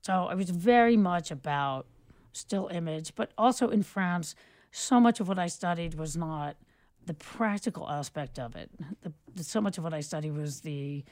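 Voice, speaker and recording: A middle-aged woman with a notably low voice speaks as a guest in a relaxed interview. She rambles through her stories with frequent restarts and fillers. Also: normal speed. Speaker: guest, photographer and filmmaker. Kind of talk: relaxed conversational storytelling.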